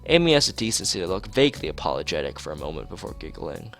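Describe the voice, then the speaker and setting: A man streaming a visual novel, reading its script aloud haltingly, stumbling and repeating phrases, with casual asides. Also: low voice, big pitch swings, a bit bright, faint music behind.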